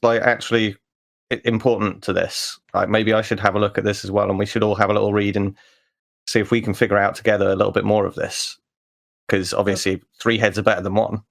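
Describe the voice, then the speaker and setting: A sceptical investigator with a sarcastic streak , played by a man at a tabletop game. His voice is creaky, and he speaks in informal, halting bursts. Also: fairly low pitch. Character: sarcastic, sceptical male investigator, teasing and incredulous.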